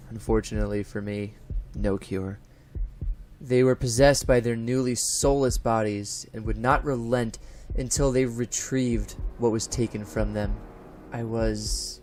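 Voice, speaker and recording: A man playing a haunted college professor unraveling into paranoid dread, narrating a horror audio drama in a formal, deliberate, theatrical manner. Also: mid-range voice, steady tone, slight background noise, natural voice. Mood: grim dread. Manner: formal, deliberate, theatrical, swelling from hushed to frantic. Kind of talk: first-person gothic horror audio narration. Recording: music behind the voice.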